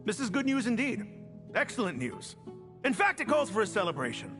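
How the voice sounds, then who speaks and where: Greedy desert warlord, game role: highish male voice, big swings in pitch, blustering and brutal, music beneath. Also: big pitch swings, brisk. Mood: delighted, hospitable. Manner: blustering, emphatic, theatrical. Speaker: game voice actor. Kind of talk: dramatic video game voice acting. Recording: music under the voice.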